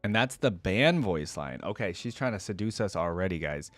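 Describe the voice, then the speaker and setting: A man's voice: sits low, animated, normal speed, no echo. A man hosting a reaction video in an informal, chatty style, commenting and reading lore aloud for viewers.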